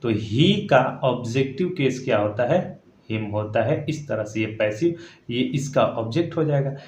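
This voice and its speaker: A man's voice: mid-range voice, lively intonation, moderate pace, a little mellow. Male teacher in an echoey room. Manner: repetitive, often checking understanding with tag questions. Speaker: English grammar teacher. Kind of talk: classroom lesson explained step by step. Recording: echoey room with faint background noise.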